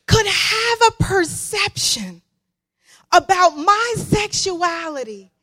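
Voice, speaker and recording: A woman preaching a sermon as a guest, emphatic and given to deliberate repetition for weight. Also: very high voice, fairly bright tone.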